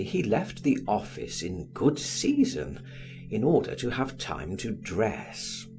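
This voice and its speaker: A man reading an audiobook in an expressive, theatrical and measured literary style. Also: medium pitch. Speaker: audiobook reader. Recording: music behind the voice. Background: music.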